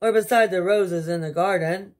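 A woman's voice: on the low side, normal speed, darkish tone, lightly acted. A woman voicing an earnest, fretful storybook king in an emphatic, theatrical manner. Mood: curious.

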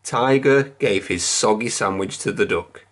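A reader telling a children's picture book aloud in a measured, expressive storytelling manner. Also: medium pitch.

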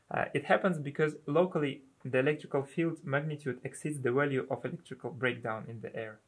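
A man presents a technical explanation in a matter-of-fact way. His voice sits at a medium pitch. He speaks as a researcher walking through how a device is built and works. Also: a bit bright.

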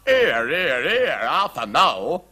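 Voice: mid-range voice, sing-song, slow, bright, forceful tone, pitched above the performer's own voice, exaggerated voice. A storyteller voicing a flustered, agitated king in a storybook tale.

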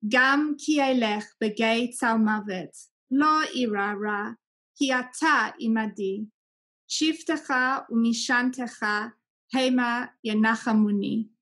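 Woman, thirties, slightly high voice, formal psalm recitation on a video call. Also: brisk, fairly bright tone. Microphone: video call, computer microphone.

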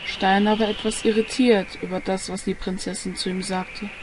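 A woman's voice: medium pitch, flat delivery, moderate pace, noisy background. A woman reading scripted narration for an audio drama.

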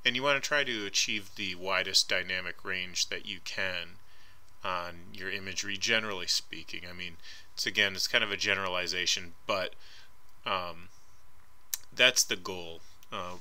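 Man art instructor, slide voice-over, bright lower voice, casual and hedging. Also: moderate pace, poor audio. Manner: casual and hedging, frequent ums. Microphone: voice-over recorded over slides.

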